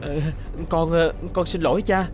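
A man's voice: a little high, steady tone, moderate pace, music in the background, natural voice. A man voicing a kind, timid and dutiful young merchant's son in a cartoon, earnest and polite. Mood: sheepish. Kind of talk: cartoon fairy-tale voice acting.

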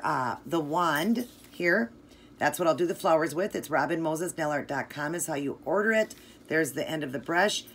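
Low voiced lady chatting thru a nail tutorial, gushy about colors, little bit of hiss behind. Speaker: nail art tutorial host. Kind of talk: casual step-by-step tutorial narration.

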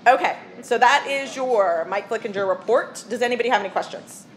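A woman mayor chairing a village council meeting, moving briskly through the agenda in a casual way with many fillers. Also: fairly high pitch, soft background music, rough recording.

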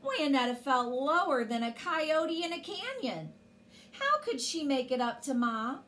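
A woman's voice: high-pitched, sing-song, measured pace, a bit bright, a little hiss or hum. A woman in her forties reading a children's story expressively as a storyteller, indoors.

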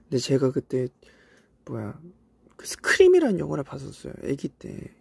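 A young Korean man from South Korea with traces of a Cheongju accent, chatting casually on a livestream and reminiscing in a relaxed way with soft asides. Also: medium pitch, moderate pace, vocal fry, husky, dry recording. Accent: Cheongju dialect traces in Korean. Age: young adult. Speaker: idol livestream host. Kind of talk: casual livestream chat reading comments. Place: indoors, voice-only stream.

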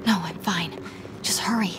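A woman's voice: fairly low pitch, moderate pace, noisy background, lightly acted. An actress playing a bold, anxious young woman held hostage in a dramatic video game. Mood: tense, hushed.

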